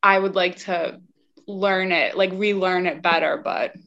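A woman's voice: on the low side, measured pace, a bit bright. A woman hosting a podcast, chatting casually and filling her talk with "like" and "you know". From United States. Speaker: podcast host. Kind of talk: casual chat. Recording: slightly dull lo-fi audio.

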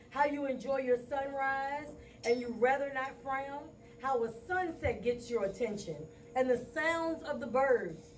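High-voiced woman reciting rhythmic poetry. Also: far from the mic, slight background noise, poor audio. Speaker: spoken word poet. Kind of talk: live spoken word poetry.

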